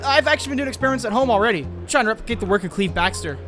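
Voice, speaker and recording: Man playing a high-pitched, anxious teenage boy. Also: lively intonation, very fast, a bit bright, long reverb tail, faint music behind. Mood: eager, earnest. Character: anxious, brooding teenage boy, science-obsessed. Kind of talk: acted comic dub with inner monologue.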